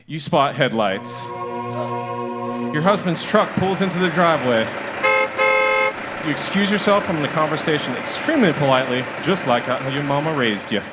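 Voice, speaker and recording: A man's voice: on the high side, expressive, normal speed, long pauses, over loud music. A man narrating a comic radio drama in the second person, playful and wry.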